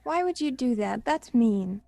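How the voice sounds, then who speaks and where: Young woman as nervous peacemaking leaf, high voice, very lively intonation, pleading and soothing, radio play. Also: a little slow, gravelly, no room sound. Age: young adult. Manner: pleading, soothing, hushed at times. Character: anxious, kindly peacemaking female leaf on a tree. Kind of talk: student radio play acting. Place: small improvised home recording space.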